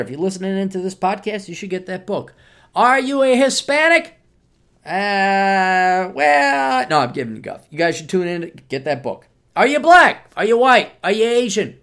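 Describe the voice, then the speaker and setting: A man hosting a solo podcast, ranting sardonically and with plenty of profanity while he plugs books. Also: medium pitch. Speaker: podcast host.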